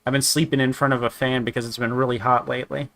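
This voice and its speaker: A man with a slightly raspy voice from a sore throat, chatting casually while reviewing games, rambling with many restarts and fillers. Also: mid-range voice, level tone, quick pace, fairly bright tone. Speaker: gaming streamer. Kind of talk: casual game review chat.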